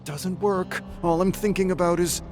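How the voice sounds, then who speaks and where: Guy voicing a scared young survivor dude, pitched up, tense, always protesting, horror story. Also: steady tone, moderate pace, darkish tone, faint music behind, lightly acted. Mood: exasperated. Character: frightened, jittery, exasperated young male survivor.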